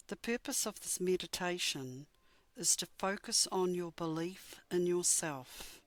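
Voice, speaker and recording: Slow, low-pitched woman guiding meditation. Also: no echo. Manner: measured, soothing, gentle instruction with frequent pauses. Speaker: guided meditation instructor. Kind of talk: guided meditation narration.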